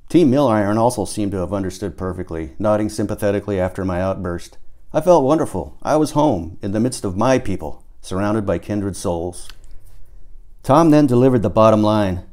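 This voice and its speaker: A man's voice: on the low side, animated, a little fast, a little mellow. A man narrating his own book as an author, in a theatrical audiobook style. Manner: acts out dialogue with emphatic swearing and outbursts.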